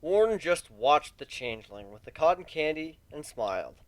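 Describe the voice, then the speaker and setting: Animated man narrating a story. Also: on the high side, moderate pace, dry recording. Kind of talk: dramatic reading of a fan fiction story.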